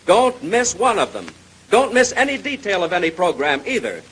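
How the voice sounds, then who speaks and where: A male radio announcer in 1930s style, giving an emphatic contest sales pitch. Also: very animated, normal speed, fairly bright tone. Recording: noisy vintage radio recording.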